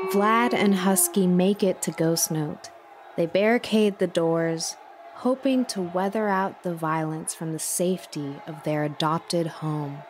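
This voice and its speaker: A woman playing a solemn documentary podcast host, delivering scripted narration in a measured way. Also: fairly low pitch, noisy background. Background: music.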